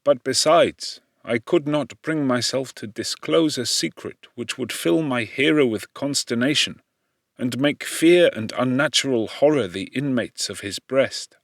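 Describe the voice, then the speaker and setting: A man narrating a classic novel as an audiobook, in a measured, expressive, theatrical manner. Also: medium pitch, vocal fry, dry recording, studio quality. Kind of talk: dramatic scripted literary narration.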